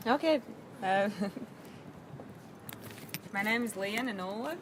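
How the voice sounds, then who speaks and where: Slow-speaking woman, distant amid loud noise. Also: medium pitch, expressive. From Latvia. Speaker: panel guest, inline skater. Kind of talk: casual self-introduction. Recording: very noisy event, distant from mic.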